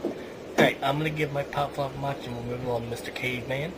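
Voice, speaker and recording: A man's voice: mid-range voice, fairly even intonation, fast. Male podcast host on a noisy line. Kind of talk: casual comedic podcast chat.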